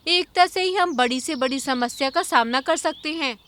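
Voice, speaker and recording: A clever, brave female bird from a cartoon, voiced by a woman. Her voice is high and forceful, and she speaks in a firm, earnest, commanding way. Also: moderate pace, slight background noise. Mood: earnest, resolute.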